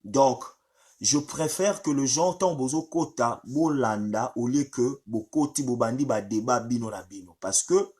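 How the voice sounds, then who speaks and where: A man with a head cold, hosting a casual live stream in a halting way with many fillers. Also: mid-range voice, darkish tone, husky.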